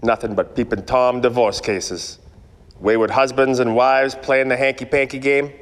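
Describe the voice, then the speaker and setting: A man's voice: mid-range voice, normal speed, close to an everyday voice. Man playing world-weary private eye, echoey hall. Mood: weary, wry. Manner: wry, world-weary, deadpan. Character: weary, cynical male hard-boiled 1940s private eye. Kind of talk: noir radio play narration. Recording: echoey hall, background noise.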